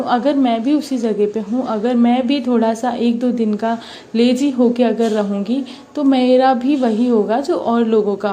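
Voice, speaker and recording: A woman's voice: fairly high pitch, warm tone. Earnest young woman with a sore throat. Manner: earnest, encouraging, mixes English words into Hindi. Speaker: study vlogger, mother preparing for police exam. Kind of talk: casual motivational vlog talk.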